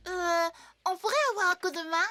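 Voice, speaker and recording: A woman voicing a cheerful, eager young girl in a cartoon dub, bubbly and expressive. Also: very high voice, brisk. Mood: curious, unsure. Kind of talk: French cartoon dub acting. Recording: music behind the dialogue. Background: music.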